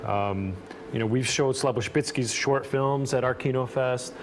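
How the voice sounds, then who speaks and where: A man from the United States, a guest on a talk show, speaking about film in a relaxed, hesitant way with many fillers. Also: medium pitch, unhurried, slight background noise. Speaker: guest, film festival program director. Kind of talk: casual interview talk.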